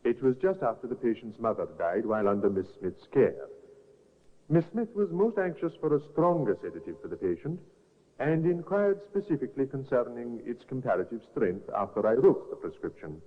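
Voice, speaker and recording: A careful, formal doctor on the stand. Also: male voice, mid-range voice, big pitch swings, normal speed. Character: careful, apologetic male doctor witness. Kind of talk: 1950s radio drama acting. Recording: tinny old radio broadcast with reverb.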